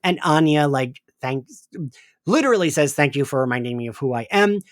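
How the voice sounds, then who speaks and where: A man's voice: fairly high pitch, animated, normal speed, no room sound, clean studio sound. A man co-hosting a podcast in casual chat, speaking emphatically with some swearing and restarted sentences. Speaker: podcast host. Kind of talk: casual chat, recapping an audio drama.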